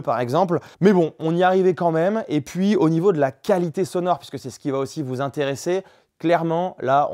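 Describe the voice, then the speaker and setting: A man with a voice slightly higher than average. He reviews gear in a casual vlog style, talking frankly and colloquially, as if chatting with fellow riders. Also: mellow. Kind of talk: casual product review to camera.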